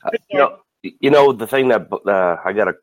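A man with a lowish voice gone raspy from a summer cold speaks bluntly and casually. His sound is dry and close, with no room around it. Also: moderate pace, dry recording, poor audio. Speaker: caller, ministry partner.

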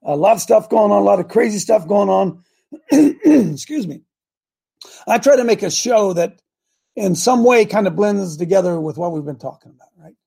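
Gravelly hoarse older man. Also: high-pitched, animated, normal speed, dark tone. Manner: rambling, clears throat often. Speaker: show host. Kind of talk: casual live chat show.

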